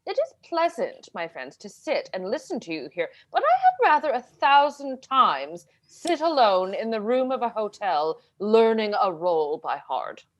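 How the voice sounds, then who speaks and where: A woman's voice: a little high, wildly swooping pitch, unhurried, few pauses. A woman playing a vain, fretful middle-aged stage actress in a play reading on a video call, theatrical in manner. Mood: bored, languid.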